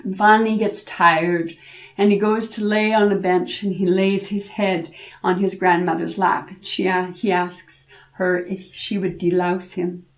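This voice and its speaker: A woman telling a folk tale aloud in an informal, expressive way, with dramatic pauses and repetition. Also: medium pitch. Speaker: storyteller, podcast host.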